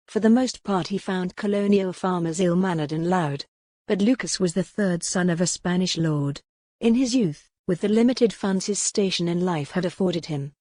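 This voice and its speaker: A woman's voice: lowish voice, darkish tone, dry recording, clean studio sound. A woman reading a scripted work of fiction as an audiobook narrator.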